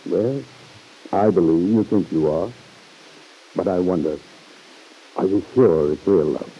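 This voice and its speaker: Deep, dark-voiced fatherly uncle. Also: a little hiss or hum, poor audio. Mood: grave, concerned. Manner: measured, earnest, formal phrasing. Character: earnest, fatherly uncle, gravely reproving his niece. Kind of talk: old-time radio drama acting. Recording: vintage radio recording.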